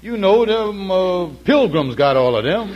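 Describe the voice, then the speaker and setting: A man's voice: high voice, big pitch swings, unhurried, a little hiss or hum. A man playing an earnest, polite, sensible everyman in 1940s American radio comedy, talking in a folksy, hesitant, ungrammatical way. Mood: patient. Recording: dull old radio recording.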